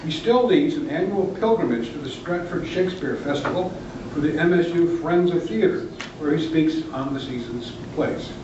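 A man's voice: on the high side, animated, normal speed. An older man, a professor emeritus, introducing a speaker in a large lecture room with light joking asides. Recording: roomy, distant, dull, noisy camera audio.

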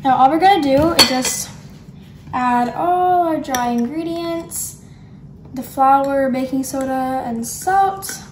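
A woman's voice: high-pitched, slow, noisy background. A teenage girl hosting a home cooking video, bubbly and chatty as she walks through a recipe in her kitchen. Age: teens. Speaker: cooking show host. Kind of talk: casual cooking demo. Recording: echoey kitchen room.

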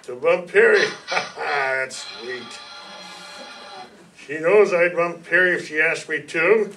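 A man's voice: on the high side, slow pace, sharp tone, soft background music, full character voice. An older man playing a polite, lovesick, simple-minded ex-convict with a folksy, apologetic manner in a noir radio play. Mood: lovesick, menacing. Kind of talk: 1950s noir radio acting. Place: staged reading in a community hall. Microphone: stand microphone, heard from a far camera. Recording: distant room mic, some noise.

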